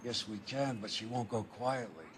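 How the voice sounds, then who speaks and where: An actor playing a matter-of-fact political fixer in a video game, terse and controlled. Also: male voice, mid-range voice, moderate pace, noisy background, lightly acted. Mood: grave, reassuring. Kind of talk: dramatic video game acting.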